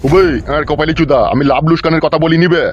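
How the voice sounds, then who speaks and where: A man's voice: fairly high pitch, steady tone, quick pace, highs cut off, a little hiss or hum, natural voice. A man voicing an argumentative, foul-mouthed village father in a cartoon. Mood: irritated. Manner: gruff insults, argumentative.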